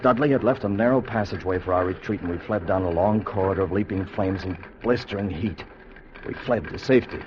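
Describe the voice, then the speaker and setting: An actor playing an earnest, protective time traveller who narrates with solemn, theatrical intensity in a 1950s radio drama. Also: male voice, low voice, brisk, natural voice. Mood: grave, suspenseful. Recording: muffled vintage radio recording with music.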